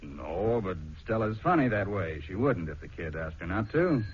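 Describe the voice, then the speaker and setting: A man's voice: sits low, big pitch swings, normal speed, slight background noise. A man acting an easygoing, teasing, baffled husband in a 1940s radio drama, on a muffled old recording. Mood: puzzled.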